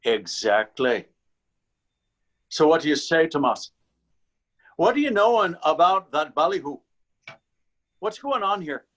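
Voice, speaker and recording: A man playing an officious, suspicious Dublin policeman in readers theater, questioning self-importantly and deliberately. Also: fairly high pitch, very animated, a bit bright. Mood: pompous, probing. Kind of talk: readers theater stage acting.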